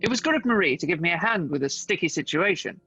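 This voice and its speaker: A man's voice: high voice, animated, fast talker, sharp tone, heavily acted. A man playing a polite, earnest undercover detective posing as a waiter in a comic radio play. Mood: composed, courteous. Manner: courteous, earnest. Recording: dull home recording, music underneath.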